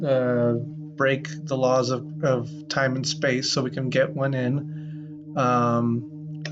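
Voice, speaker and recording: A man hosting a podcast in a casual, playful way, with rambling, halting delivery. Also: mid-range voice, little pitch movement. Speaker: podcast host. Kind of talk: casual chat with playful improvised sci-fi bits. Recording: slightly muffled audio with prominent music.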